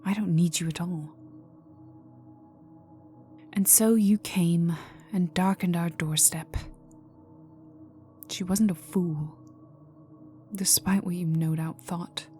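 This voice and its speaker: A woman performs a cold vampire noblewoman for a dark ASMR roleplay. Her voice is on the low side and very unhurried, often sinking into a whisper. She is condescending, teasing and quietly menacing, over moody ambient music. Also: steady tone. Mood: guarded, disdainful. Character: cold, haughty aristocratic female vampire, menacing and amused. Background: dark atmospheric music with resonant tones.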